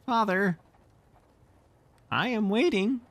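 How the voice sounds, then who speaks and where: Man doing a high, defiant little boy. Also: takes it slowly, fairly bright tone, heavily acted. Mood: cold. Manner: cheeky, taunting. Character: suspicious, defiant small boy, storybook.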